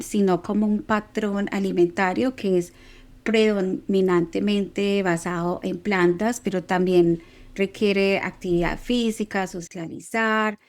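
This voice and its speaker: A woman's voice: medium pitch, dry recording, studio quality. A woman with a Colombian paisa accent, co-hosting a podcast and explaining food habits in a chatty, easygoing way. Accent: Colombian paisa (Antioquia). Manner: chatty, explanatory, laughs easily. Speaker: co-host, nutrition specialist. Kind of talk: casual podcast conversation.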